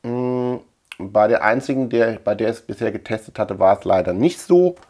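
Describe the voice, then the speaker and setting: A man's voice: fairly low pitch, normal speed, a little mellow. A middle-aged man from Germany chatting informally through a collection show-and-tell, rambling and repeating words as he goes. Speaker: video collector and channel host. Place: indoor room lined with shelves of tapes.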